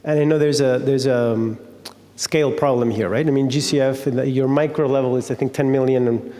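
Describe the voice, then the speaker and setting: A man's voice: mid-range voice, fairly even intonation, fairly quick, warm tone. A man moderating a conference panel, posing questions loosely with fillers and tag questions. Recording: echoey conference hall.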